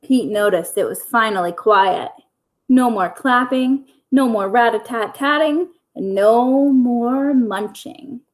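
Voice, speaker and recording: Casting: female storyteller for young schoolchildren, higher-pitched, very unhurried and deliberate picture-book reading. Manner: simple, deliberate phrasing for young children. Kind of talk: children's picture-book read-aloud.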